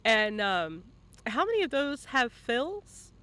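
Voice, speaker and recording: High, bright-voiced male interviewer. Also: sing-song, takes it slowly, clear, resonant tone. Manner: encouraging, bantering, casually sweary. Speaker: interviewer and host. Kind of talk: casual live-stream interview.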